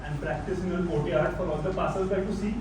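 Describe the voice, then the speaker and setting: A man's voice: high voice, fairly even intonation, quick pace, natural voice. A man with an Indian accent playing a stern, controlling old father-in-law in a stage play, authoritative and disapproving. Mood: angry, scornful. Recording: very echoey, distant stage recording with noise.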